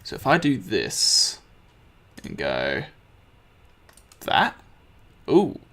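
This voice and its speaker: A man with a slightly croaky voice, casually commenting on his gameplay and thinking aloud as he crafts. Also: on the low side, wildly swooping pitch, drawn-out words, a bit bright. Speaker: let's play host.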